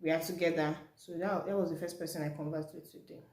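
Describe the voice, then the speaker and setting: Low-voiced Nigerian woman in an echoey room. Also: steady tone, fast, darkish tone. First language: Yoruba. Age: young adult. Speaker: vlogger. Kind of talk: casual personal storytelling to viewers.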